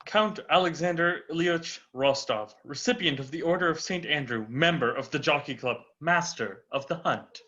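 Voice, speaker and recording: A man's voice: on the high side, moderate pace, hardly any pauses, bright, forceful tone. A man playing a witty, unflappable Russian count on trial in an amateur dramatic reading, formal, eloquent and dryly flippant. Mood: dryly haughty. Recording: dull, muffled lo-fi recording.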